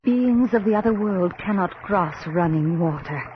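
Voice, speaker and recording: An actress playing a solemn, eerie witch who gives deliberate warnings and incantations in a horror radio drama. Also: medium pitch, animated, natural voice. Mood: ominous. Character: solemn, eerie witch conducting a dangerous night-time séance. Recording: dull, noisy old radio drama tape.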